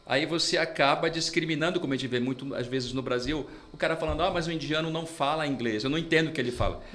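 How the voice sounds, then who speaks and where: A man's voice: fairly high pitch, quick pace, a bit bright. A man from Brazil, an interpreter and English mentor, explaining his views earnestly as an interview guest.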